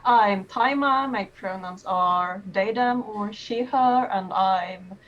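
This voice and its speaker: Player, woman from Sweden: rambling, giggly, very slow, muffled lo-fi mic. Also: mid-range voice, a bit bright. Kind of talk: casual chat.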